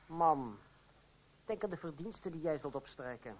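An actor playing a shrewd, sardonic young stage player in a radio play, mocking, assured and coolly domineering. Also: male voice, fairly high pitch, animated, normal speed, lightly acted. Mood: forceful. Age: young adult. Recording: dull, noisy old radio play recording.

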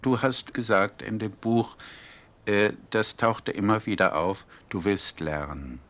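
Male interviewer, very low voice, encouraging and reassuring. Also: slow, fairly bright tone. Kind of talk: interview questions.

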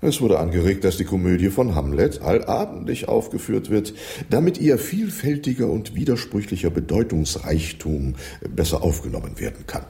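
An actor in a German radio play as an impatient, drowsy British lord with stately, formal diction. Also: male voice, low voice, big pitch swings, normal speed, vocal fry, dark tone, full character voice. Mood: brisk, wry.

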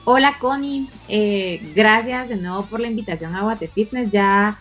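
A cheerful woman co-host with a slightly breathy voice. Her sound is dull and lo-fi, with faint music behind it. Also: mid-range voice, measured pace, a bit bright.

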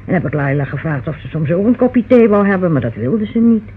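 An actor playing a homely, chatty and slightly flustered character in an old radio crime drama, speaking hesitantly. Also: male voice, high-pitched, very animated, fast talker. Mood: chatty, defensive. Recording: tinny, noisy old radio recording.

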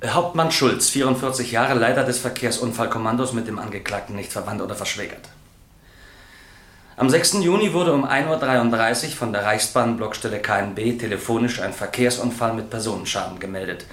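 Male actor as official police witness, mid-pitched, formal recital in an echoey room, radio drama. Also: fairly quick, fairly bright tone, lightly acted. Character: formal police captain testifying in court, official, factual. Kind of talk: German radio crime drama acting.

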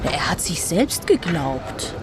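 Radio crime play: fretful fresh widow, hesitant yet digging for answers, faint music behind. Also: female voice, low-pitched, sing-song, slow pace, heavily acted. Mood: pensive. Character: anxious newly widowed woman, hesitant but probing. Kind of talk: German radio crime drama acting.

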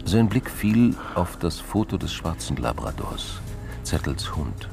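A man narrates a crime drama in an extremely deep voice. It has a gravelly creak, and music plays clearly behind his scripted reading. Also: big pitch swings, unhurried. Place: studio.

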